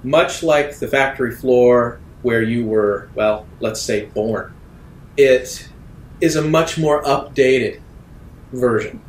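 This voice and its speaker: A man working as game master, narrating a tabletop role-playing game deliberately, with dramatic pauses. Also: mid-range voice, echoey room.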